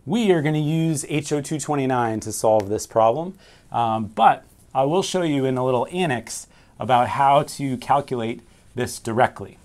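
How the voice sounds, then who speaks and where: A man's voice: mid-range voice, normal speed, darkish tone. Man explaining navigation at a whiteboard. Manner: explains step by step, asks and answers his own questions. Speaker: navigation instructor. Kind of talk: whiteboard lesson. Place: small room with framed pictures and signal flags.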